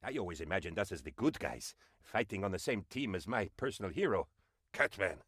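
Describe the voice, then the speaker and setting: An actor voicing a bumbling, excitable and fawning aide to a dictator in a comic video game, with theatrical delivery. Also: male voice, deep voice, fairly quick, breathy edge, dry recording, studio quality, heavily acted. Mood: disappointed, hesitant. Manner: theatrical, fawning, swooping between excitement and dismay. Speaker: game advisor character.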